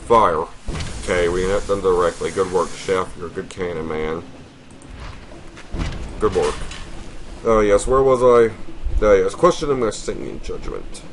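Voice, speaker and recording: Deep-voiced man politely narrating a game. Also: unhurried, long pauses, a little hiss or hum. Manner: polite, apologetic, addresses the viewer formally. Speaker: let's play host. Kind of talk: casual gaming commentary, reading game text aloud.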